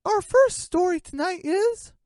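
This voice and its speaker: A very high, bouncy voice for a mischievous young chicken. The delivery is excitable and giggly, swinging widely in pitch, in the manner of a comic radio play. The sound is close and dry. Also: female voice, unhurried, no echo. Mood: mock newscaster. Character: giggly, mischievous young chicken prankster turned fake newscaster.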